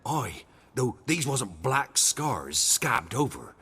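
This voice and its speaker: An actor playing a humble, fearful peasant in a video game, earnest and deferential, telling things in rustic dialect. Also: male voice, mid-range voice, big pitch swings, slow, sharp tone, husky, full character voice. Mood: haunted, awed. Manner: rustic dialect grammar, deferential, dramatic storytelling.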